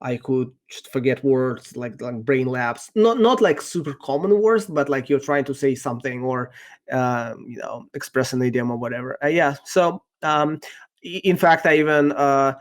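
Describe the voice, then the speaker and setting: Russian-native man on a podcast, mid-pitched, pausing and restarting a lot while he thinks. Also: lively intonation. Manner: thoughtful, halting, many ums and restarts. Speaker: podcast guest, software engineer. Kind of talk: casual interview answers.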